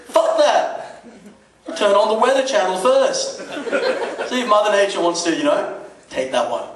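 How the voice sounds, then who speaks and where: High-pitched male stand-up comic, roomy club sound. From Dubai. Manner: conversational, punctuated with rhetorical questions and swearing. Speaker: stand-up comedian. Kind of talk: stand-up comedy routine. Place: comedy club stage. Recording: roomy, distant mic, noisy club recording. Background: audience laughter, background noise.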